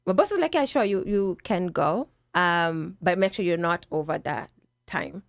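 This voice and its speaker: A Kenyan woman with a lowish, slightly nasal voice talks through her story in a loose, chatty way. She restarts her sentences and acts out what she told herself. The recording is close and dry. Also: animated, moderate pace, vocal fry, no echo. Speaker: guest. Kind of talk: casual interview chat.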